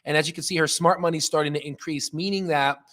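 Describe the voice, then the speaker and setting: A man hosting a live stock trading stream, talking casually and emphatically in an excitable, jokey way as he comments and answers chat. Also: a little high, quick pace.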